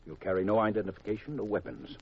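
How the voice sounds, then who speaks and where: An actor playing a grave, secretive government intelligence chief in a 1950s radio drama, deliberate and confidential on a vintage recording. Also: male voice, on the low side, breathy edge, lo-fi sound. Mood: grave, instructing.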